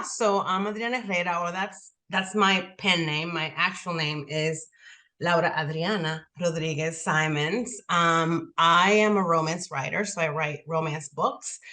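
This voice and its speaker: A woman's voice: lowish voice, sharp tone. A woman in her forties from the Republic, answering interview questions in a chatty way full of "like" fillers. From Dominican Republic. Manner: chatty, heavy use of "like" fillers. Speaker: guest author.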